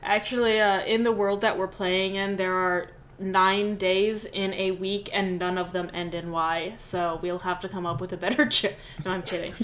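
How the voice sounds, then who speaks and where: A woman's voice: mid-range voice, level tone, normal speed, hardly any pauses, a bit bright, a little hiss or hum. A woman hosting a tabletop game on a livestream, chatting casually in a playful, joking way and laughing readily. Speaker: game master and stream host.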